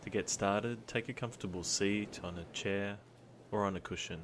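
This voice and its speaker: A man guiding a meditation in a calm, soothing, gentle manner, with long pauses between his instructions. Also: lowish voice, noisy background. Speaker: meditation guide. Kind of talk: guided body scan meditation. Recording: surf sounds behind the voice. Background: ocean surf ambience.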